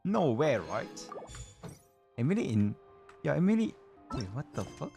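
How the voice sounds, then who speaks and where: A man hosts a casual game stream over music. His delivery is slow and halting, with repeated words and offhand swearing. His pitch moves around a lot, and his English phrasing is loose and non-native. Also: big pitch swings, vocal fry, mellow. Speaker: livestreamer hosting a game stream. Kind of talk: casual livestream game chat.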